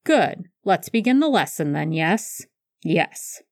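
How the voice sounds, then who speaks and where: A woman's voice: on the low side, big pitch swings, slow, a little mellow, no echo, full character voice. A woman voicing a wry, fond male schoolteacher in a storybook reading, dry and teasing as the teacher addresses a class. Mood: wry, brisk.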